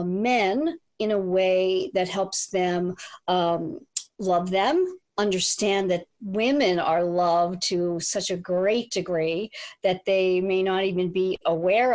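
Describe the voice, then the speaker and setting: A woman relationship coach answering interview questions in a measured, hesitant way with many fillers. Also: fairly low pitch, darkish tone.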